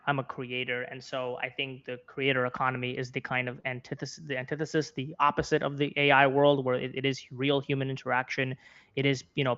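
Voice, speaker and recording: A man's voice: mid-range voice, fairly bright tone, clear, resonant tone, lo-fi sound. A man in his twenties with an American accent gives conversational interview commentary, rephrasing his points earnestly as he goes. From Florida, United States. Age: 20s. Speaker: interview guest, content creator and journalist.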